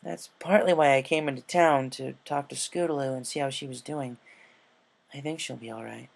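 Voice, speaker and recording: A man's voice: mid-range voice, steady tone, normal speed, creaky, lightly acted. A man voicing a young female cartoon pegasus, a stubborn, troubled athlete, in a casual and frank way for a fan story. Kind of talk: character dialogue in fan fiction reading.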